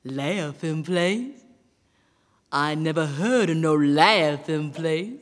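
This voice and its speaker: A woman voicing a big, dim, eager male bear in a folk tale, lumbering and drawling in an American Southern accent. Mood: puzzled. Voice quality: deep, lumbering. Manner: slow-witted, drawling, folksy. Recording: long hall reverb.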